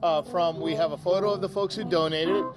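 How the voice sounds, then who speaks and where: A man's voice: fairly high pitch, fairly even intonation, fairly quick. A man giving a casual live interview as a charity officer, friendly and informal. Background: live brass band music.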